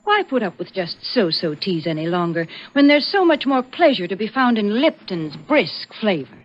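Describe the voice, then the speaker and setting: A woman's voice: mid-range voice, very animated, moderate pace. A woman playing a cheery, wholesome spokeswoman, persuasive and neatly spoken, in the style of a 1940s radio commercial. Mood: cheerful selling. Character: cheery, wholesome female tea saleswoman sparring with host. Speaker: sponsor's spokeswoman. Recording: muffled vintage 1940s radio broadcast.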